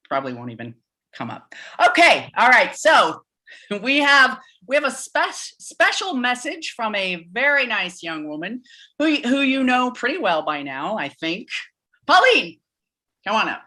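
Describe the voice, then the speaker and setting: A woman playing a joking, informal church pastor who speaks haltingly in a live staged play reading. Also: fairly high pitch, big pitch swings, pushed and bright, exaggerated voice. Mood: wry, joking. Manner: halting, chuckling, informal sermon delivery. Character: Pastor Reggie, rambling, joking, nervously candid church pastor.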